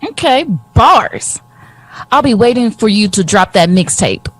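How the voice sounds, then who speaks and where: An actress playing a brash, teasing, warm-hearted friend in a modern-day audio drama, blunt and slangy. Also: female voice, mid-range voice, lively intonation, a little slow, dry recording, soft background music. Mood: teasing, excited.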